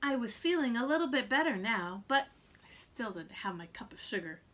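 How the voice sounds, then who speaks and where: A woman's voice: fairly high pitch, big pitch swings, moderate pace, a bit bright. A woman voicing a male storybook wolf who tells his side in a folksy, self-justifying way, with theatrical emphasis and chatty asides. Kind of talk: animated storybook read-aloud for children.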